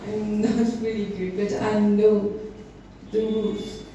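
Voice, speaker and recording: An eighteen-year-old woman from France speaks halting English with frequent fillers. Her delivery is slow and level. She sounds distant in a very echoey room with noise behind. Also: mid-range voice, level tone, mellow. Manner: hesitant, many fillers. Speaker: foreign guest student. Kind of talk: casual classroom talk in English.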